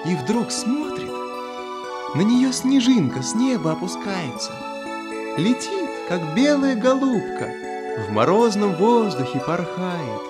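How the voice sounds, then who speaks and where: A man tells a fairy tale to small children in a theatrical manner. His voice is high for a man and very animated in melody. Music is clearly present behind him. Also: slow. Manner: theatrical, addressing small listeners. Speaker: storyteller.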